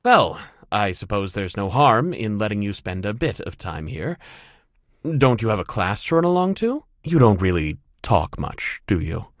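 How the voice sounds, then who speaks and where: A man's voice: lowish voice, very animated, normal speed, no room sound, studio quality. A man playing an older school headmaster in a game, formal, hesitant and awkwardly polite. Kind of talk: game character lines.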